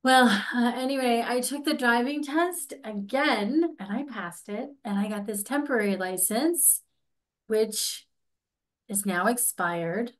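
A woman's voice: fairly high pitch, a little slow, lightly acted. A woman in her forties playing an exasperated, persistent licence applicant in a staged comedy reading, with a plaintive manner. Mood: explaining, frustrated. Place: indoors, on a video call.

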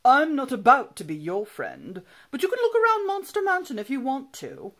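A woman's voice: high voice, huge pitch swings, moderate pace, fairly bright tone, voice pushed up, exaggerated voice. A woman voicing a sulky, grudging skeleton in a storybook, grumbling and put-upon. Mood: sulky, warning. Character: sulky, grudging male skeleton who can't be bothered.

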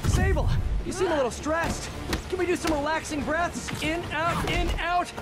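A man's voice: very high voice, steady tone, moderate pace. An American man voicing a young, wisecracking, friendly masked superhero in a video game. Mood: joking, coaxing. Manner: quippy, chatty. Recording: loud backing music. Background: loud music.

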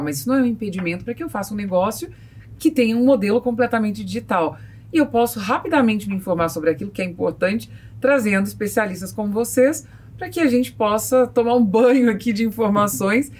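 A woman's voice: mid-range voice, a little mellow, breathy edge. A woman in her forties with a Brazilian accent, talking conversationally as host of a podcast discussion. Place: small foam-padded podcast studio. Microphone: close studio mic on boom arm.

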